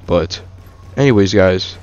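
A man's voice: low voice, a little slow, a little mellow, muffled recording, a little hiss or hum. A young man casually reviewing a shooter game over gameplay, rambling with many fillers and restarts. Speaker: gaming YouTuber.

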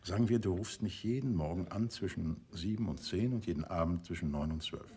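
An actor playing a courteous, composed middle-aged detective in a radio crime drama, speaking in a measured, conversational way. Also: male voice, low-pitched, mellow. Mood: friendly, businesslike.

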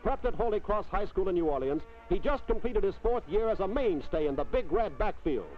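A man's voice: sits high, lively intonation, a little fast. A middle-aged American man hosting a sports newsreel in a formal 1950s announcer delivery. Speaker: sports telecaster, show host. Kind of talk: 1950s newsreel scripted narration. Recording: dull vintage film soundtrack, music behind.

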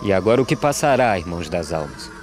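A man playing a solemn, weary drought migrant, reciting measured verse in an animated film. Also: deep voice. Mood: solemn, questioning. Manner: measured, solemn, rhythmic delivery with falling refrains. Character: solemn male drought migrant, questioning, weary, plain-spoken. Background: music.

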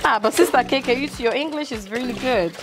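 A woman's voice: sits high, fast. A young woman hosting a vlog, chatting, asking questions and laughing often in an outdoor restaurant kitchen yard. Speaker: vlogger host. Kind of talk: casual vlog chat and interviewing. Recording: music playing loudly behind.

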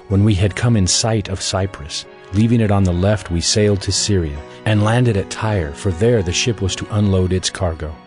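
A man narrating a dramatized audio Bible as measured, scripted storytelling. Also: fairly low pitch, a little mellow.

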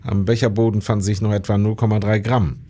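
An actor playing an obliging, matter-of-fact police detective in a radio crime drama, precise and informal. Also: male voice, on the low side, little pitch movement, quick pace, mellow, natural voice.

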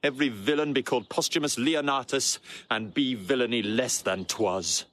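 An actor playing a remorseful, self-loathing noble husband, declamatory and impassioned in Shakespearean audio drama. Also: male voice, mid-range voice, moderate pace, a bit bright, dry recording, natural voice. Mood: anguished fury.

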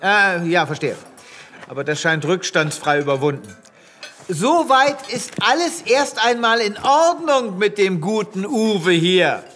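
An actor playing a pompous, formal and easily flustered space veterinarian in a comic sci-fi radio play. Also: male voice, high-pitched, lively intonation, measured pace, fairly bright tone, slight background noise. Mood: officious, patronising. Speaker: lead actor in an audio drama.

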